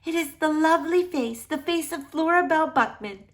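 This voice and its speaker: A woman voicing a tender, sentimental lady doctor in a children's storybook, exclaiming in drawn-out phrases. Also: sits high, pitched above the performer's own voice, lightly acted. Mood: warm, welcoming. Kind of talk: acted children's storybook dialogue.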